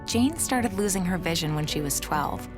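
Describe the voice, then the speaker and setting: A woman voices a corporate promo in a polished, upbeat announcer style. She reads it as a clean studio voice-over. A clear music bed plays under her voice. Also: lowish voice, animated, normal speed. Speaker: narrator of a corporate promo video.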